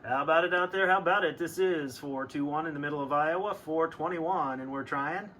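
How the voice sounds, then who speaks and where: A man's voice: on the high side, a little fast. A man giving a casual hands-on demo and calling out as a CB radio hobbyist. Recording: clear background radio noise.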